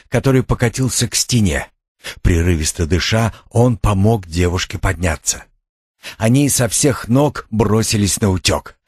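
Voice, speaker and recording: A man narrating a suspense thriller audiobook in a measured, dramatic storytelling manner. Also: sits low, husky, dry recording, studio quality.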